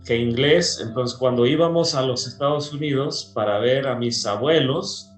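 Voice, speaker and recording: A man from Peru, a pastor, chatting in an interview, slow and hesitant with many fillers. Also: medium pitch, reverberant, soft background music. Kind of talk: casual interview chat.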